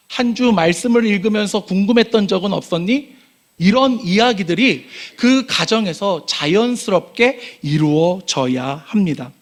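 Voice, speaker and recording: A young man preaching a sermon as pastor in a church sanctuary, earnest, pushing up on key phrases and dropping quiet at sentence ends. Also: high voice.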